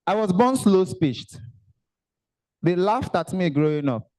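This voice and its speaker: A young man with a Nigerian accent giving an animated motivational talk at a career summit, dropping Pidgin asides and bantering with the audience. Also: high voice, a little slow, rough recording. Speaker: motivational speaker. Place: event hall.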